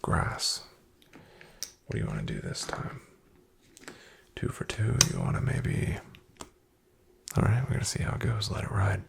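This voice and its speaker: A man playing a shady, guarded back-alley card dealer in a soft-spoken ASMR roleplay, half-whispering his clipped, unhurried patter with a gravelly creak. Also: fairly low pitch, wildly swooping pitch, full character voice. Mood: guarded, hushed.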